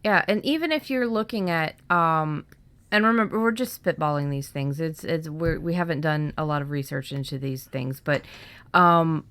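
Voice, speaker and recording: Woman podcast cohost, low voice, chatty informal talk, really dry sound no echo. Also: animated, normal speed, a bit bright, clear, resonant tone. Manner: informal, conversational. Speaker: podcast co-host. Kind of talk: casual discussion of fiction writing.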